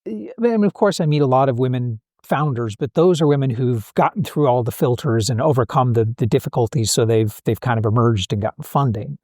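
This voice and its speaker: A man's voice: mid-range voice, a little fast, few pauses, darkish tone, dry recording, studio quality. A man hosting an interview podcast, asking questions in a casual way and thinking aloud with fillers. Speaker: podcast host. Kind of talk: interviewing a guest.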